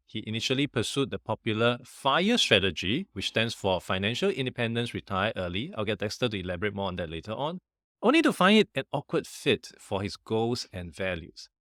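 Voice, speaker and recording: A man's voice: lowish voice, a bit bright, no room sound, clean studio sound. A man hosting a podcast, reading a scripted introduction and then asking questions with friendly curiosity. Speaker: podcast host.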